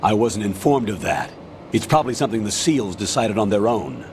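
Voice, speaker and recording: An actor playing a stern military colonel in a video game, giving orders in a firm, formal, authoritative manner. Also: male voice, fairly low pitch, animated, fairly quick, darkish tone, a little hiss or hum, natural voice. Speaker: colonel, commanding officer. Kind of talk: video game voice acting, briefing.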